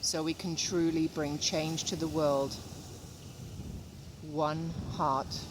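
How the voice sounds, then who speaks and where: Female meditation guide, mid-low register for calm phrasing, very even pitch, gentle and measured with pauses, clear ambient noise behind.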